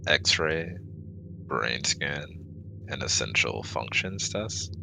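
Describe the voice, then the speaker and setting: A man's voice: rumbling low, animated, extremely slow, vocal fry, background noise, heavily acted. A man playing a formal, easily exasperated alien exobiologist with stiff, precise wording in a scripted ASMR audio roleplay. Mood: clinical.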